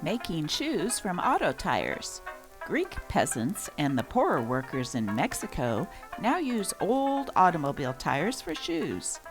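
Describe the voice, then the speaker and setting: Woman, lower pitch, animated intonation, scripted newspaper narration, host role. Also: measured pace, over music. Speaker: host reading old newspapers.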